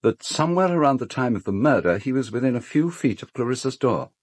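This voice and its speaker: Actor as urbane British gentleman, slightly husky. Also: medium pitch, animated, fast talker, no echo, close to an everyday voice. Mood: sardonic. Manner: dry, worldly, articulate. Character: urbane, sardonic male host of an island house. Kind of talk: radio drama acting.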